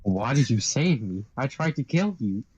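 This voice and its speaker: A man's voice: medium pitch, sing-song, moderate pace, darkish tone, husky. A man in a live amateur fandub voicing a cold, arrogant, bloodthirsty anime fiend on a dull home mic. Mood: grudging. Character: cold, bloodthirsty, grudging fiend who loves a cat.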